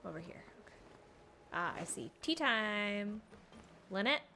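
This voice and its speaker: A woman's voice: medium pitch, lively intonation, a little slow, bright, forceful tone, slight background noise. A woman giving playful, reactive live commentary as she streams a video game. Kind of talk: live let's play commentary. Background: game music.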